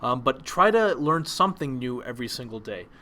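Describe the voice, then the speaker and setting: Relaxed young man teaching, lots of ums. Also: medium pitch, a little fast. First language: Polish. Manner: relaxed, many ums and restarts. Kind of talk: casual presentation lesson.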